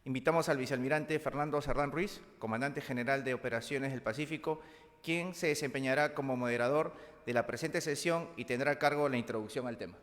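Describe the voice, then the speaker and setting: Man, event announcer, steady official reading, long hall reverb. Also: mid-range voice, brisk. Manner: steady, official, scripted delivery. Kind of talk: formal announcement. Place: large conference hall.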